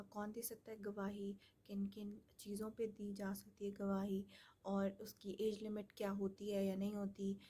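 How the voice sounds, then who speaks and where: A woman's voice: mid-range voice, warm tone. A woman giving an explanatory lecture, methodical and plain, mixing in English terms. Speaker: law lecturer.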